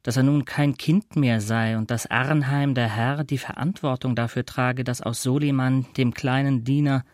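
A man's voice: medium pitch, steady tone, normal speed, hardly any pauses, darkish tone, no room sound. Male literary narrator, close dry sound. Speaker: narrator of a literary radio play.

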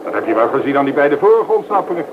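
A man's voice: fairly high pitch, big pitch swings, very fast. A man playing an argumentative, defeatist train passenger in a Dutch radio play. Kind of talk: radio drama acting in Dutch. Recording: muffled, very noisy old radio play recording.

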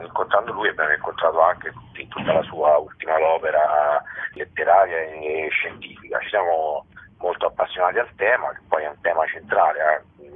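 A man answering interview questions as a documentary director, explaining in a halting way, searching for words. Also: very deep voice, slightly rough. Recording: thin, telephone-like radio line.

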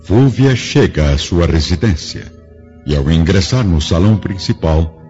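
A man's voice: sits low, animated, unhurried. A man narrating a radio drama from a script in a dramatic style. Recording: dull lo-fi audio with music behind.

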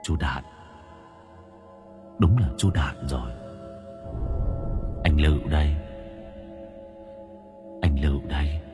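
A man voicing the ghost of an older village man who speaks in a low, even, murmured monotone. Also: slow pace, pauses often, loud music behind the voice. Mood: eerie.

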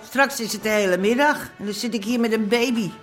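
A woman's voice: fairly high pitch, fast talker, soft background music, natural voice. A woman playing a grumbling, no-nonsense middle-aged working grandmother in a radio drama, dry and plain-spoken. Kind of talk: naturalistic radio drama acting.

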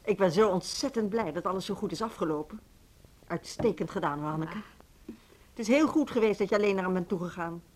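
An actress plays a gracious, composed woman in a radio play. She speaks at a middle pitch in an earnest, measured, cordial manner. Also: animated, a little mellow, close to an everyday voice. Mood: relieved, pleased. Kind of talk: Dutch radio play acting.

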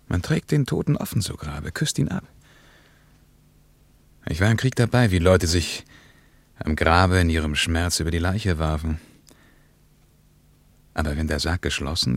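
An actor playing a reflective middle-aged German traveller and war veteran, who speaks thoughtfully and pauses to weigh his words. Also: deep voice, creaky, no room sound.

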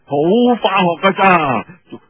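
Man acting brash drunken young warrior, swooping high. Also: lively intonation, a little slow, highs cut off, a little hiss or hum. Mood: boastful, carefree. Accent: Cantonese. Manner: stammering, blustering, exclaiming. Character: drunk, brash, devil-may-care young male rebel warrior.

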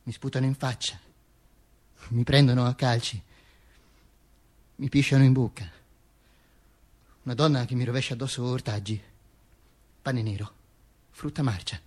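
A man's voice: medium pitch, fairly even intonation, moderate pace, long pauses, darkish tone, breathy edge. A man performing a dramatic radio monologue in a declamatory way, with emphatic, repeated phrases. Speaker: stage actor performing a monologue.

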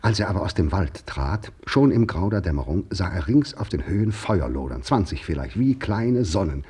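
An actor as the grave, literary narrator of a 1950s German radio drama, with a husky voice. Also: male voice, low-pitched, very animated, moderate pace, warm tone, heavily acted. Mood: measured. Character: grave, literary male storyteller.

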